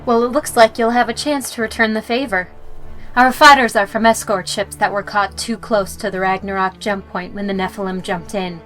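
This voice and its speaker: A woman playing a brisk, grave military major in a sci-fi audio drama, speaking in a firm, businesslike way. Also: medium pitch, steady tone, a bit bright, faint music behind, lightly acted, rough recording. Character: brisk, grave female major leading fighter pilots.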